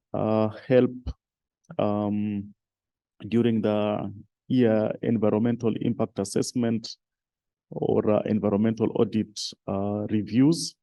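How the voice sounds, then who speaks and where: A man at a conference listing recommendations in a halting way, with many fillers. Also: lowish voice, dark tone, no echo. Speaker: conference participant. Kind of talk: discussion contribution. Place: conference hall.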